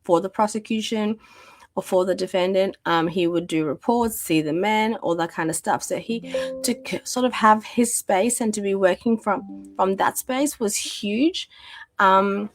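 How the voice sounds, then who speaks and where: A woman's voice: medium pitch, unhurried, faint music behind. A woman in her thirties, a guest telling her story in a chatty way with many fillers while losing her voice. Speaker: guest, domestic violence practitioner. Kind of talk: conversational interview storytelling.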